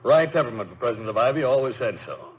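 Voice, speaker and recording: An actor playing a blunt, hearty, impatient older board member in a radio comedy, with brusque, folksy, clipped speech. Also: male voice, mid-range voice, expressive, very fast, close to an everyday voice. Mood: brisk, impatient. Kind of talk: 1950s radio comedy acting. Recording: noisy old radio broadcast.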